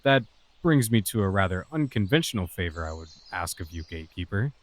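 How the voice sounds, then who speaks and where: A man playing a composed, enigmatic lordly scholar in a fantasy audio drama, speaking in a measured, formal way. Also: low-pitched, sing-song, dry recording. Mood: polite.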